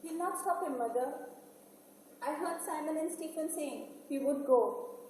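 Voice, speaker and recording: A woman plays an anxious young island girl with a high, soft-toned voice. She is acting on stage, distant in a cavernous hall, with faint music behind. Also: unhurried, slight background noise. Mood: watchful. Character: anxious young island daughter, gentle and watchful. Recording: very echoey hall, far mic, faint music.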